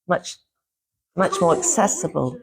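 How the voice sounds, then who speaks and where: A middle-aged woman from Yorkshire with a low voice that swoops up and down a lot. She talks casually and at length, circling back and restarting her sentences, with laughter in between. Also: huge pitch swings, unhurried, vocal fry, poor audio. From Yorkshire, England. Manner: chatty, rambling, laughs often, many restarts. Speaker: interview guest, former publisher and teacher. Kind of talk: casual chat.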